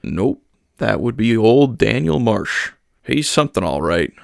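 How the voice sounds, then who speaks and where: A man voicing a blunt, plain-spoken churchman who talks in a dry, unhurried way. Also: on the low side, big pitch swings, gravelly, dry recording, heavily acted. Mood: matter-of-fact.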